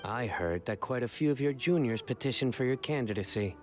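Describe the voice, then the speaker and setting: An actor playing a stoic, earnest officer in a video game, speaking formally and deadpan. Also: male voice, mid-range voice, a little fast, soft background music, natural voice. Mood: matter-of-fact.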